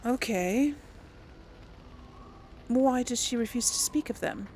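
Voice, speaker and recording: A woman's voice: on the high side, slow, a little mellow, a little hiss or hum. A woman hosting a casual role-play let's play, speaking in a hesitant, thoughtful way. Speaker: gaming video host and role-player.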